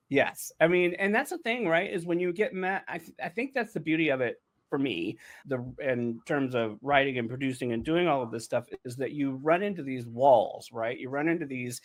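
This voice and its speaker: A man's voice: mid-range voice, normal speed. A man speaking casually and emphatically as a writer-producer hosting a podcast, often repeating words for emphasis and checking in with "right?". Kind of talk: casual behind-the-scenes podcast conversation.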